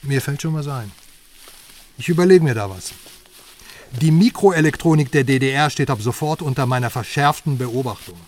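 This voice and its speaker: An actor in a radio drama playing a brash West German investigative journalist, chatty, sardonic and emphatic. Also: male voice, mid-range voice, animated, moderate pace, lightly acted. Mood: teasing. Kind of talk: naturalistic radio drama dialogue. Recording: slightly distant voice, faint outdoor noise.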